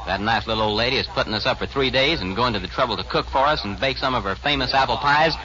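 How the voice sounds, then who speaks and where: An actor playing an earnest, good-hearted, folksy cowboy in a 1940s radio western. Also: male voice, medium pitch, fast talker, noisy background, close to an everyday voice. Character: earnest, good-hearted cowboy, protective of kindly old ladies. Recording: muffled 1940s radio recording.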